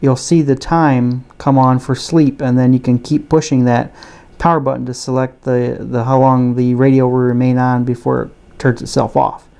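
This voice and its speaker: A man's voice: medium pitch, level tone, normal speed, creaky, few pauses, dark tone, clean tone. A man giving a casual product demonstration, explaining things plainly and without hurry. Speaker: gadget reviewer.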